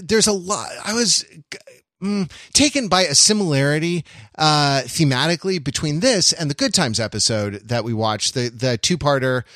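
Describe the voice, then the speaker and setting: Halting, analytical man, dry close sound. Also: sits high, a bit bright, no room sound. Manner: halting, searching for words, repeats and rephrases himself. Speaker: podcast host. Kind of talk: analytical discussion of classic television.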